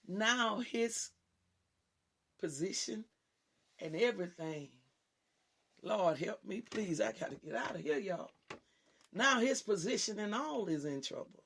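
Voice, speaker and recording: Woman minister hosting her own show: raspy, husky voice, highly animated pitch, preaches with fervour and talks straight to her listeners. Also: measured pace, long pauses. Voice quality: raspy, deep-sounding voice often taken for a man's on the phone. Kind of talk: impassioned preaching on a talk show.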